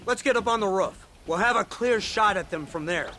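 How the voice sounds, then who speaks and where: Western game villain: condescending federal agent, very lively pitch, bright edge, ruthless. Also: male voice, a little fast, slight background noise. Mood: urgent, commanding. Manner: condescending, falsely genial. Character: smug, sardonic, ruthless government agent in a western. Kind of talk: video game cutscene acting.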